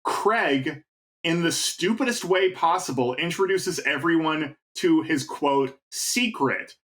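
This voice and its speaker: A man's voice: a little high, takes it slowly, fairly bright tone. A man co-hosting a podcast who walks through a game's plot step by step in casual chat. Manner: narrates plot points step by step. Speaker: podcast co-host. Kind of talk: casual chat recapping a game story.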